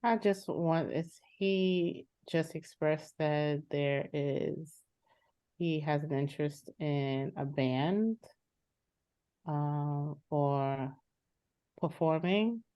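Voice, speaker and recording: A woman's voice: sits low, very slow, mellow, smooth. A woman playing a practical, frustrated sister in an improvised session over a video call, plain-spoken and insistent. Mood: firm, conditional. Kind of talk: improvised role-play dialogue. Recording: slightly muffled video-call audio.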